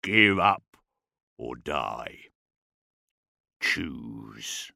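Guttural orc warlord, menacing, blunt, cunning, wide swings in pitch, dry close recording. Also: male voice, sing-song, very slow, vocal fry, bright, forceful tone, no echo, exaggerated voice. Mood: menacing, contemptuous. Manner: blunt, unhesitating, terse threats. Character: brutish orc ambassador, menacing, cunning and contemptuous.

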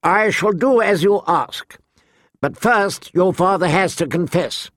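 A man's voice: high voice, slow, a bit bright, dry recording, studio quality, voice pushed up. A man playing a petulant, imperious boy king in an audiobook, haughty and demanding.

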